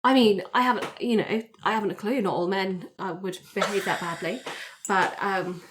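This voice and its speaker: A woman's voice: mid-range voice, fairly even intonation, moderate pace, noisy background, close to an everyday voice. A woman playing an evasive, flustered woman in an improvised tabletop roleplay, stammering and hesitant. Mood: flustered, defensive. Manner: hesitant, stammering, self-interrupting.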